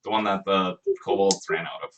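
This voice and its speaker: Very low voice, game master, tabletop narration, halting and conversational, distant microphone. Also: fairly bright tone, a little hiss or hum.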